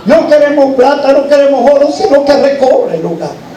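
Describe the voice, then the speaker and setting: A male preacher exhorts his congregation from some distance off the microphone, in a roomy, echoing space. His voice sits high for a man, and he drives the sermon with questions and repeated phrases. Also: expressive, normal speed, mellow, slight background noise. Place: large echoing room, church service.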